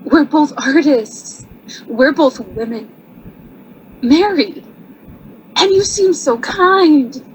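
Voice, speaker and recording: An actress playing an earnest, shy young married artist in a radio drama, speaking hesitantly and apologetically. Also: female voice, high-pitched, extremely slow, long pauses, a little hiss or hum, low-quality recording. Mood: earnest, apologetic.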